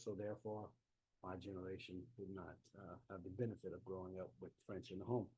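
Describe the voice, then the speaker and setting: A man's voice: on the low side, dark tone, breathy edge, low-quality recording. A man with a South Louisiana accent giving a folksy, easygoing talk as an author and historian over a laptop mic on a video call. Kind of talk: conversational remote lecture. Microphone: laptop microphone on a video call.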